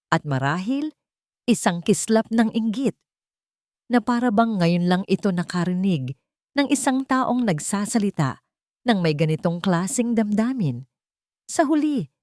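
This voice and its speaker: A woman's voice: mid-range voice, darkish tone, no room sound, studio quality. A woman reading a scripted dramatic story as narrator.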